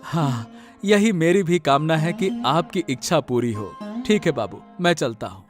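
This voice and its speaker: A man's voice: a little high, animated, measured pace, faint music behind. A man voicing a humble, worried village servant in a cartoon drama, deferential and hesitant. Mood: worried, respectful. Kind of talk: Hindi cartoon family drama.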